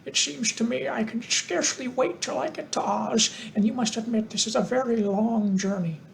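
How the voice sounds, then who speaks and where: A woman reading aloud and voicing a calm, longing male tin woodman. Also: on the high side, normal speed, creaky, slight background noise, pitched above the performer's own voice, low-quality recording.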